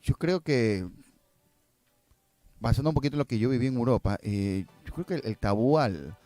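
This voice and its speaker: A man's voice: mid-range voice, expressive, normal speed, warm tone, dry recording, faint music behind. A man chatting casually as a guest, often thinking aloud and repeating himself. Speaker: guest filmmaker. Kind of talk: casual conversational interview answers.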